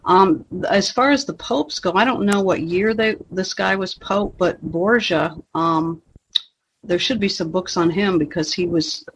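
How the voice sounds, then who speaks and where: A man's voice: high-pitched, unhurried, a bit bright, a little hiss or hum, low-quality recording. A man chatting casually as a member of a book discussion group.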